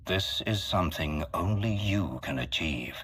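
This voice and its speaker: A man voicing a solemn, calculating mastermind in a video game, speaking formally and deliberately with a husky voice. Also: sits low, lively intonation, a bit bright, heavily acted. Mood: persuasive, intense. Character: solemn, calculating male mastermind with grand galactic ambitions. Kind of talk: dramatic video game acting.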